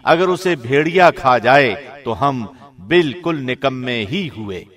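A man's voice: mid-range voice, lively intonation, fairly bright tone. A man reading a scripted translation of scripture as a professional radio presenter. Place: studio.

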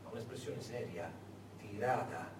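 A man narrating a story on stage in a dramatic, theatrical way, with deliberate pauses. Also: fairly low pitch. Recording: echoey room, far mic, faint noise.

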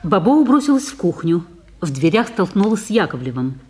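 A drama narrator with a medium-pitched voice reads stage directions. The delivery is scripted, with varied intonation. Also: big pitch swings, fairly quick, darkish tone, slight background noise.

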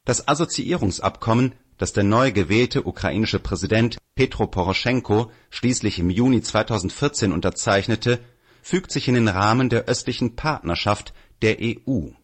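A man's voice: lowish voice, moderate pace, slightly rough. A man reading a political article aloud as scripted narration. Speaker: reader of an audio article.

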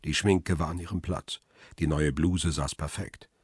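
World-weary noir narrator, real raspy deep voice, reflective, dry studio sound. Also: male voice, fairly even intonation, a little slow, dry recording, heavily acted. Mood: sober, reflective. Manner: sober, reflective. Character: world-weary male paparazzo narrating his own story. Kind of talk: scripted first-person narration, radio crime drama.

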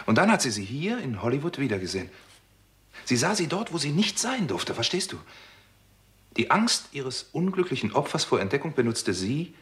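A sharp, forceful doctor playing detective in a sixties radio crime drama. His pitch moves widely as he reasons deliberately and presses his case with dramatic emphasis. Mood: intent, musing. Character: American doctor turned amateur detective, insistent and sharp. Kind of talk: 1960s German crime radio play acting.

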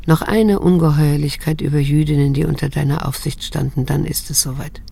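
A middle-aged daughter in a radio play. Her voice is husky with a heavy creak. She speaks in a measured, cool, introspective way, bitter and self-controlled. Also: low-pitched, steady tone, dark tone, heavily acted. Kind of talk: radio drama dialogue and inner monologue.

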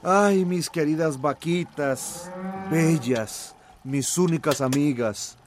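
Radio drama farmer: doting yet grumbling, unhurried, slightly high voice, noisy farmyard around him. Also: male voice. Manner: doting, grumbling, sighing to himself. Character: affectionate weary farmer doting on his cows. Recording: clearly noisy farm soundscape with cattle.

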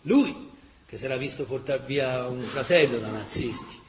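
An actor playing a heartfelt Tuscan storyteller recounting war memories in colloquial, emphatic radio theatre style. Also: male voice, medium pitch, moderate pace, close to an everyday voice. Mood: sorrowful, intense. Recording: roomy stage-like echo.

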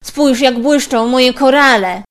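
A woman's voice: high-pitched, slow pace, voice pushed up. A woman voicing a vain, bossy young stepsister in a storybook tale, whiny and demanding. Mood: vain, boastful.

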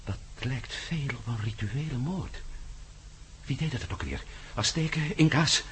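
An actor playing a bold, stubborn adventurer in a radio play, with an urgent, clipped way of talking. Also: male voice, mid-range voice, a little slow, lightly acted. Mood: grim, fascinated. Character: bold, stubborn male adventurer prying into gruesome secrets. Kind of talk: Dutch radio play acting. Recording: noisy old radio drama recording.

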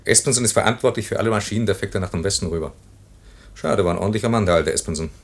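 Fast-talking sardonic office man. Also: on the low side, gravelly. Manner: dry, mocking, casual. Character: flippant, sardonic male airline office secretary, idle gossip. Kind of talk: 1950s German radio drama acting. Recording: noisy vintage 1950s radio recording.

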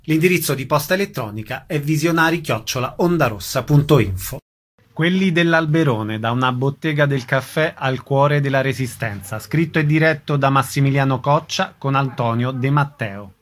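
A man's voice: mid-range voice, moderate pace. A man reading a scripted announcement for a radio programme, in the role of presenter.

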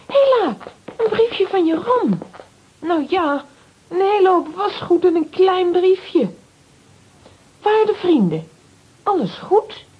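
An actress playing a chirpy young child in a children's audio drama. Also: female voice, extremely high pitch, sing-song, takes it slowly, pauses often, slight background noise, heavily acted. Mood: excited, chirpy. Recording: slightly dull, lo-fi sound.

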